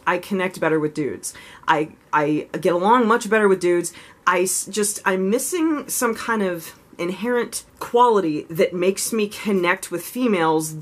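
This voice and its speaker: Low-voiced woman rambling in a candid vlog. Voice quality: low voice she raises slightly higher than natural. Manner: rambling, full of "like" and "you know"; frank, self-reflective. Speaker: vlogger. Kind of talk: casual confessional vlog.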